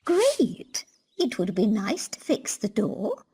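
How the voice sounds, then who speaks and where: A frail, trusting old grandmother, drags her words out slowly. Her breathy voice swoops high and low in a kindly sing-song, as in a storybook cartoon. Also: huge pitch swings, warm tone, a little hiss or hum, heavily acted. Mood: frail, needy. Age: older. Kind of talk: children's cartoon storybook acting.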